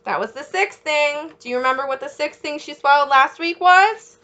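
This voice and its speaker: A woman reading a picture book aloud as a children's teacher, encouraging young listeners with questions and pausing for their answers. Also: high voice, bright, forceful tone.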